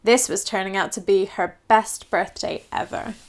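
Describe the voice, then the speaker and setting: A woman storyteller reading a children's picture book aloud in an expressive, scripted style. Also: mid-range voice, moderate pace.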